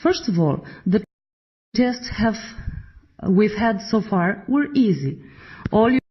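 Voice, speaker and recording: A husky, slightly breathy voice with a non-native English accent delivers a blunt, exasperated lecture in a firm, scripted way. The recording sounds dull and lo-fi, as if the top end has been cut off. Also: mid-range voice, lively intonation, takes it slowly. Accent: non-native English accent, not Russian. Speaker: speaker on a transcription test recording. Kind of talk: scripted monologue.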